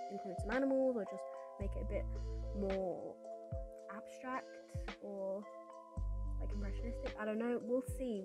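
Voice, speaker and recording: Chatty young woman, a little congested. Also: mid-range voice. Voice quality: slightly raspy. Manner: chatty, rambling with fillers and restarts. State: congested from a cold. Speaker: artist vlogger. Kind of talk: casual voice-over chat. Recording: voice-over with music behind.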